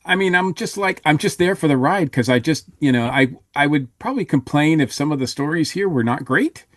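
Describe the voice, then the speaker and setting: A man talking casually as a podcast panelist, halting but enthusiastic as he reviews a show. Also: fairly high pitch, lively intonation, no echo, studio quality. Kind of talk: casual review chat about an audio drama.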